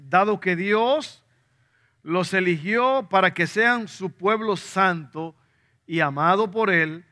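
A man's voice: high-pitched, bright, forceful tone. A man with a Dominican Spanish accent, preaching a sermon to a congregation, repeating key points and putting questions to them. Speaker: pastor.

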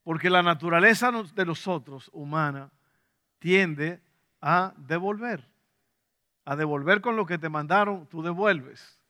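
Male Dominican pastor, high voice, bright tone, emphatic sermon, asks the crowd questions. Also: a little slow. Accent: Dominican Spanish. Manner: emphatic preacher, repeats key points, asks the congregation questions.

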